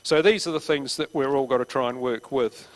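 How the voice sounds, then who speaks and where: A man's voice: medium pitch, brisk. A man giving a plain-spoken, practical talk at a seminar, often restarting his phrases. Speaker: seminar speaker.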